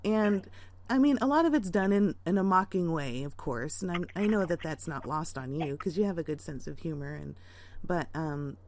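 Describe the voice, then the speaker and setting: A woman with a slightly low voice chats casually as a caller on the phone. She speaks haltingly, in an amused and giggly manner. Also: lively intonation, darkish tone.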